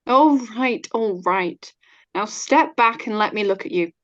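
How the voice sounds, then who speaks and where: An actress playing a calm, reassuring middle-aged wife and mother in an old-time radio drama. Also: fairly high pitch, a little slow. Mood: soothing, brisk. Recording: dull, muffled online recording.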